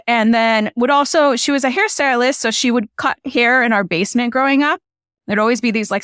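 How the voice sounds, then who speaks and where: A woman's voice: on the high side, a bit bright, clean tone, no echo, clean studio sound. A woman from Illinois whose first language is Spanish, a filmmaker guest talking casually and packing her speech with "you know" and "like". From Illinois, USA. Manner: chatty, frequent "you know" and "like" fillers. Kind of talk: casual conversational storytelling.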